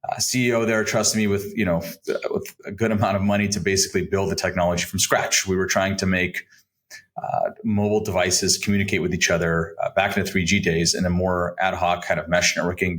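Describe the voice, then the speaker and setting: American male podcast guest, thirties, notably low voice, conversational career storytelling. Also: fairly bright tone. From Southern California, United States. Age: 30s. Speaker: podcast guest, advisory firm founder.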